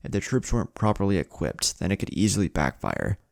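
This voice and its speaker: A man's voice: low voice, sing-song, a little fast, creaky, dry recording, clean studio sound, full character voice. A man voicing a blunt, skeptical male military village leader in an anime story, brusque and questioning, over music. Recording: music behind the voice.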